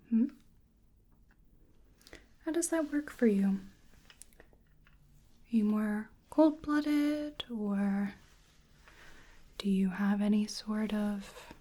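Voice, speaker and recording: Soft, breathy woman whispering slowly. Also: medium pitch. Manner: gentle, unhurried, hesitant musing. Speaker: ASMR performer playing a researcher examining a creature. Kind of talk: soft-spoken ASMR medical exam roleplay.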